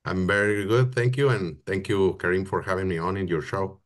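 A man in his thirties speaks with a Mexican accent in a low voice. He answers at length in an earnest, searching way, leaning on fillers like "um" and "like". The sound comes through a video call from a small room. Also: steady tone, a little fast. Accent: Mexican Spanish. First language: Spanish. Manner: earnest, with frequent "um" and "like". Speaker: guest. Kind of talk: casual interview answers. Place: small room with plain wall.

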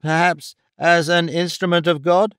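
A man's voice: a little high, flat delivery, measured pace, a bit bright, smooth, dry recording, studio quality, voice pushed up. A man playing a confident, courteous detective in an audiobook, formally polite and probing. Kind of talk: audiobook character dialogue.

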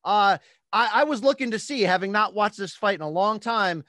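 Male podcast host, 40s, American. High voice, dry close mic, punchy sports commentary with interview questions. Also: expressive, moderate pace, sharp tone, dry recording. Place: small home studio with posters. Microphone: studio mic on a boom arm, close.

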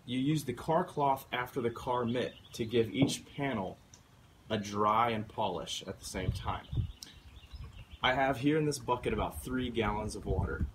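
A man's voice: medium pitch, noisy background. A man in his thirties giving a casual, upbeat product demonstration in his home garage, talking straight to viewers. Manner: upbeat, instructional, addresses viewers directly. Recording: background music under voice. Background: background music.

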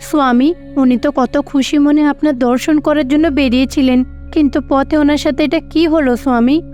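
A woman voicing a gentle, concerned goddess in a cartoon, speaking respectfully. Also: sits high, fairly even intonation, normal speed, natural voice. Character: gentle, concerned goddess questioning her husband. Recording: faint music behind.

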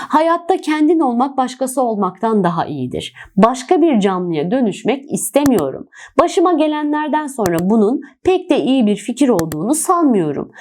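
An excitable little animal from a children's storybook, voiced by a woman. The voice sits a bit high and swoops expressively. It speaks in open, exclamatory phrases. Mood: resolved, pleading. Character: small sparrow turned elephant, then horse, regretful, excitable.

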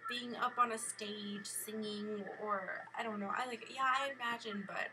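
A young American woman telling a rambling, casual vlog story in an expressive way, acting out exchanges as she goes. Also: a little high, moderate pace, noisy background. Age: young adult. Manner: animated, expressive, acts out exchanges. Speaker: vlogger. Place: bedroom at home. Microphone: built-in camera or webcam mic at arm's length.

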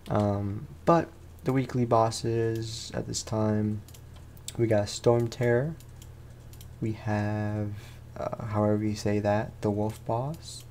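A man's voice: mid-range voice, vocal fry, a little mellow, slight background noise. A young man, sounding tired, gives casual let's play commentary as a gaming host, rambling along in slangy, informal speech.

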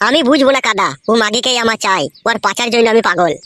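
Man doing a rapid-fire, high-pitched hot-headed cartoon guy. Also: pushed and bright, no echo. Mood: obstinate. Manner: foul-mouthed, belligerent. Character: stubborn, lovesick, hot-headed married young man. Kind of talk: crude comedy cartoon dialogue.

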